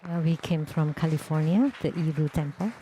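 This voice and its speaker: A woman interpreting a ceremony live, in quick, clipped phrases. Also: sits low, dark tone, poor audio. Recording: clear background noise.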